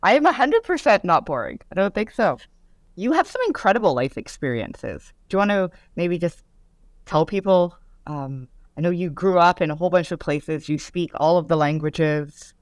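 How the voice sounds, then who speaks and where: A woman's voice: lowish voice, animated, moderate pace, dry recording. A middle-aged woman hosting a legal podcast, chatting enthusiastically with fillers as she interviews her guest. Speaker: podcast host, lawyer. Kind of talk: casual interview conversation.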